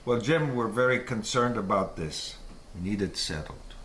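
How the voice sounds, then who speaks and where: An actor playing a stern church pastor in an audio drama, measured and insistent, pressing for results. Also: male voice, lowish voice, expressive, natural voice. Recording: roomy, clear background noise.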